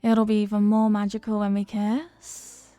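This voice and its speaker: A woman's voice: medium pitch, monotone, normal speed, dark tone, no echo, soft background music, close to an everyday voice. A woman playing a flirty, playful stranger at a New Year's party in an intimate audio roleplay, with a coy, teasing, murmuring delivery. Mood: flirty, hopeful.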